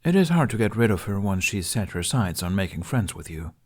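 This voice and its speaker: A man's voice: lowish voice, very animated, fairly quick. Guy reading as a cheeky young bard dude in a story, teasing and casual. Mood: friendly.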